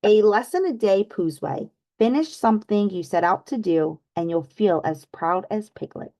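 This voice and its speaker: A woman reading a picture book aloud for children, pausing to ask her young listeners questions. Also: mid-range voice, expressive, mellow. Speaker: children's read-aloud host. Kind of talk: storybook read-aloud.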